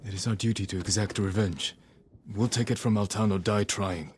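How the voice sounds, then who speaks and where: Deep, solemn samurai man. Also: steady tone, slightly rough. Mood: vengeful. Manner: solemn, measured, resolute. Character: stern, loyal samurai man bent on justice. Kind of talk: dramatic video game acting. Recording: music behind the voice.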